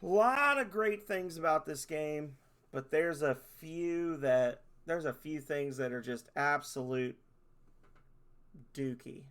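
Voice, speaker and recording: A man, a streamer closing his stream in rambling, self-deprecating casual chat, his voice hoarse as he loses it. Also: on the high side, lively intonation, slow pace, a bit bright.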